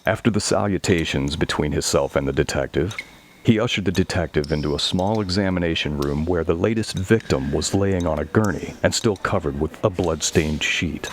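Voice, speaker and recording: Deep-voiced man narrating a horror story. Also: normal speed, slight background noise.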